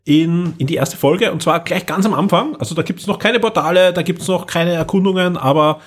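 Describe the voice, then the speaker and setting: A man's voice: high voice, fairly quick. A man with an Austrian accent, hosting a podcast in a casual, chatty style. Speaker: podcast host. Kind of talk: casual moderated chat.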